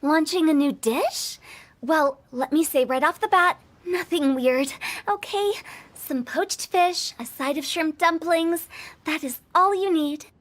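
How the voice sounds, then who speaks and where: A woman's voice: sits high, slow pace. A woman voicing a playful, mischievous young woman who teases and lectures with flair. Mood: teasing. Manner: teasing, sing-song, lecturing with flair.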